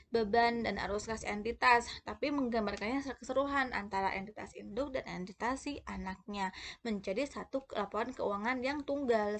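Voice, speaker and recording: A woman's voice: on the high side, a bit bright. Woman explaining a lesson, somewhat hesitant. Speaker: accounting lecturer.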